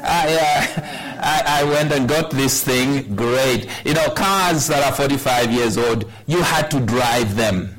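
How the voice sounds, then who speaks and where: A man in his sixties delivers a sermon at an unhurried, deliberate pace. His pitch sits in an ordinary middle range. He tells a personal story with wry humor. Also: fairly bright tone, low-quality recording. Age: 60s. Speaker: pastor.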